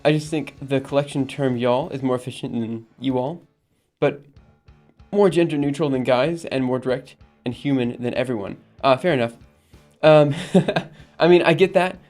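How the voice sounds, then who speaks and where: A man's voice: mid-range voice, moderate pace, darkish tone, soft background music. A man from Alabama hosting a live stream, chatting casually with viewers, rambling with frequent ums and laughs. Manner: rambling, reads chat aloud and answers, frequent ums and laughs. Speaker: livestream host.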